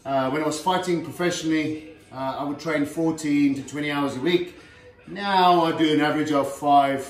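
A middle-aged man, a former heavyweight fighter, chatting casually while he demonstrates cooking in his home kitchen, recorded by a camera set across the counter. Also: on the high side. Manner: chatty, plain-spoken, lots of fillers and "okay". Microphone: far camera on the counter. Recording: roomy kitchen sound from a distance, faint music.

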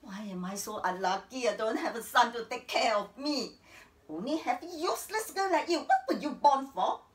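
An old, domineering Singaporean widow tells her life as a theatrical monologue. Her voice sits fairly high and swings widely in pitch as she moves between narration and bitter drama. It rings in an echoey, stage-like space. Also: big pitch swings, unhurried, long pauses. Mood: harsh, scolding. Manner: theatrical, swinging from hushed narration to indignant outbursts. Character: embittered, domineering old Chinese matriarch, widow and grandmother. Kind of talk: dramatic stage monologue, acting out remembered scenes. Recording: roomy, echoing, distant stage-like sound.

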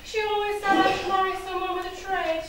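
Woman playing teasing, very high-pitched pantomime queen. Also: level tone, a little fast, a bit bright, slight background noise. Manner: teasing, projected stage delivery. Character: teasing pantomime queen, dry nagging wife. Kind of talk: stage pantomime acting. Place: theatre stage, recorded from afar. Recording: echoey theatre, far mic, audience.

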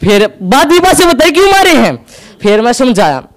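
A young man with a Haryanvi accent doing stand-up storytelling on an indoor stage into a handheld mic, acting out quick back-and-forth dialogue. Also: very high voice, big pitch swings. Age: young adult. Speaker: stand-up comedian. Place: indoor stage with curtain backdrop.

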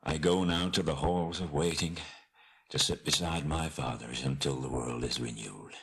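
A man's voice: rumbling low, steady tone, a bit bright, husky, full character voice. A man voicing a proud dwarf king on his deathbed in a storybook, solemn, repentant and formal. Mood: solemn, failing. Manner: slow, grave, formal.

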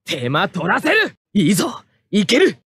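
High-pitched, hot-headed young mecha pilot. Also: male voice, wildly swooping pitch, unhurried, slightly rough, dry recording, clean studio sound, full character voice. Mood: combative. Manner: forceful, cocky shouting of short attack calls. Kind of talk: anime fighting-game battle barks.

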